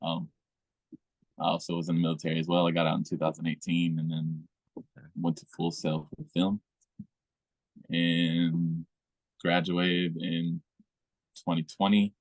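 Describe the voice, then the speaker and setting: A man, the musical guest, answering interview questions in a low-key, hesitant way with fillers. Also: completely flat delivery, unhurried, pauses often, no echo, low-quality recording.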